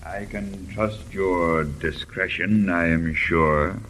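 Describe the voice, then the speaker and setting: A man acting a mysterious, controlling occultist in a vintage radio drama, speaking with formal, measured courtesy. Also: low voice, fairly bright tone. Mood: suavely courteous. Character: mysterious male occultist, courteous, calmly controlling, secretive master. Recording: noisy old radio broadcast recording.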